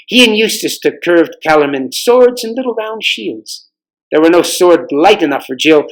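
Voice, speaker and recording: Higher-pitched man reading a story aloud. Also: poor audio. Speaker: volunteer storybook reader. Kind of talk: read-aloud storybook narration.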